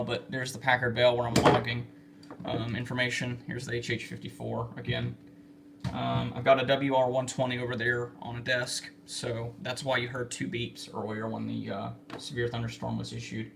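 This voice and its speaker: An American man with a creaky, gravelly voice talks casually to a livestream. He speaks haltingly, thinking aloud as he works at his desk. The amateur recording carries clear background noise. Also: mid-range voice, flat delivery. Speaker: livestreamer spotting severe weather. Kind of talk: casual livestream commentary while logging warnings. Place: indoor room with computer and radio equipment. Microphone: phone set in a fixed spot. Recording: noisy amateur livestream with room hiss.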